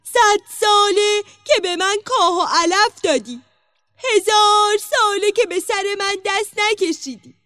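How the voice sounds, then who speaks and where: A woman's voice: very high voice, a bit bright, no room sound, studio quality, voice pushed up. A woman voicing an indignant, defiant servant animal in a storybook tale, reciting grievances rhythmically. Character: indignant animal servant, horse or dog, defying its master.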